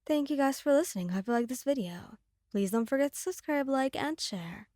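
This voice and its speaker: A woman's voice: high voice, lively intonation, brisk, a little mellow, dry recording, clean studio sound. A woman reading scripted dramatic narration as the storyteller of an ASMR audio roleplay.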